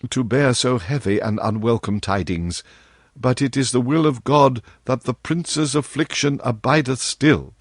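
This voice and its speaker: A man's voice: medium pitch, no echo, clean studio sound. A man voicing a deferential, anxious nobleman in a storybook, with formal, pleading archaic speech. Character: deferential nobleman courtier addressing his king, anxious and humble.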